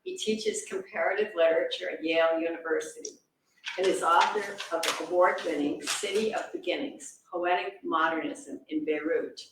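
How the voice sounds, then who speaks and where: A woman's voice: low-pitched, steady tone, moderate pace. A woman hosting an event, reading out an introduction of the speakers with informal asides. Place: reverberant indoor event room. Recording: echoey room, distant mic, faint noise.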